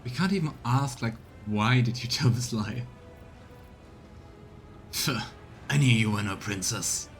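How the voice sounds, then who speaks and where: A man's voice: mid-range voice, a little slow, pauses often, soft background music. A man giving casual let's play commentary and reading game dialogue aloud, with exasperated, sarcastic asides.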